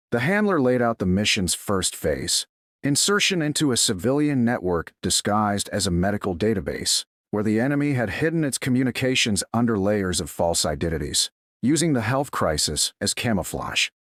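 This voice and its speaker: Low male voice doing solemn story narration, very lively intonation, super dry close sound. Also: no echo. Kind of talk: scripted dramatic fiction narration.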